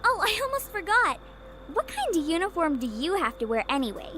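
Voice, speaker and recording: An actress playing a cheerful, teasing teenage little sister in an anime-style game. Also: female voice, very high-pitched, big pitch swings, fairly quick. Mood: excited, supportive. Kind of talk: anime-style visual novel voice acting.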